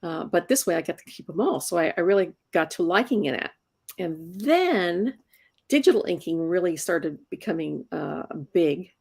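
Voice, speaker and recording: A woman's voice: lowish voice, lively intonation, moderate pace, creaky, a little mellow, breathy edge. A woman in her sixties, a comics inker, talking casually in an interview and often restarting her words. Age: 60s. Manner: chatty, repeats words when restarting.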